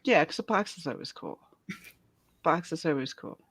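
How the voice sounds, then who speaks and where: A woman's voice: low-pitched, very animated, normal speed, fairly bright tone, breathy edge, no room sound. A woman hosting a casual geek culture panel chat, informal and given to repeating her phrases for emphasis.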